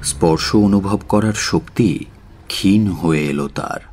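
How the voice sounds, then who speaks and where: A man narrating a dramatic audio story in a measured, solemn and expressive way. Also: sits low, a little hiss or hum. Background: faint music.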